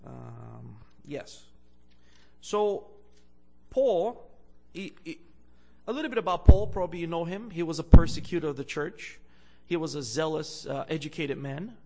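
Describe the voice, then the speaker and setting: A young man from Bulgaria preaches as a guest in a church. His voice sits a little high and has a gravelly edge. He hesitates often with fillers, then swells louder over scripture. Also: animated, unhurried. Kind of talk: sermon.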